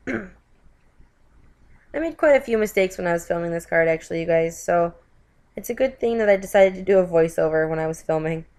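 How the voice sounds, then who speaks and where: A woman's voice: lowish voice, animated, fairly quick, long pauses, a little mellow, clean tone. A woman narrating a card-making tutorial as a voiceover, sounding stuffy from a lingering cold, chatty and often correcting herself. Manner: chatty, with frequent self-corrections and "you guys". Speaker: craft video host.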